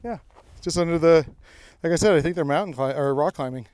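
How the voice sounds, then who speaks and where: A man's voice: on the high side, a little fast, a little mellow, dry recording. A man co-hosting a podcast, chatting casually and rambling a little as he walks an outdoor mountain trail. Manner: chatty, rambling, laughs often. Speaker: podcast co-host. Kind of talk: casual chat on a hike.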